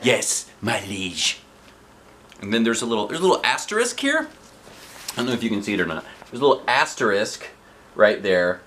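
Dad in his forties reading a kids book, really lively up and down voice, bright, playful. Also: medium pitch, quick pace, a little hiss or hum. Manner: expressive, playful. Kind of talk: animated storybook read-aloud with chatty asides. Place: living room sofa, indoors. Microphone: camera a short distance away.

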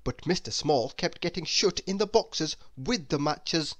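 A storybook narrator reading aloud for children. The voice sits at a medium pitch and moves up and down freely. The telling is expressive yet measured.

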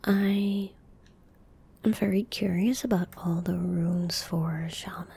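A young woman murmurs softly into a close desk microphone in a breathy whisper. Her delivery is very slow and gentle, with little rise or fall in pitch. It is relaxed ASMR commentary over gameplay. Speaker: ASMR gaming streamer. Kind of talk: soft-spoken ASMR game commentary. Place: indoor room at a desk.

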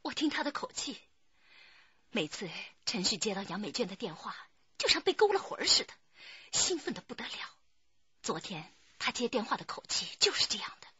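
An actress playing an aggrieved, jealous wife with a husky voice in a radio drama. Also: female voice, a little high, very animated, a little fast, pushed and bright, no echo, lo-fi sound, exaggerated voice. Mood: bitter recounting.

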